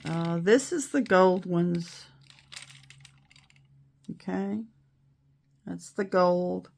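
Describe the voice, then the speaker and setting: A middle-aged woman talks slowly in a low, gravelly voice that is still raspy from a recent illness. She chats and rambles as she works, apologizing for her slips with easy self-deprecation. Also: a little mellow, slight background noise. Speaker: beading video host. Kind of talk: casual tutorial chat.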